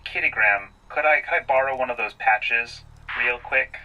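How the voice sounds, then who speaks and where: A man's voice: medium pitch, normal speed, recorded from a distance, lo-fi sound. A man playing in a tabletop role-playing game, improvising casual in-character banter.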